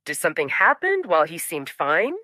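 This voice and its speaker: A woman voicing a patient, scheming middle-aged father in an audio drama, speaking in a measured, coaxing way. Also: on the low side, huge pitch swings, bright, forceful tone, no room sound, clean studio sound, heavily acted. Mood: patient, probing.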